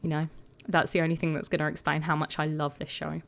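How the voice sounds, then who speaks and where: A woman with a croaky voice, chatting casually and rambling a bit as she talks over her video. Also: low voice, completely flat delivery, quick pace, a bit bright. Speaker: gaming video creator.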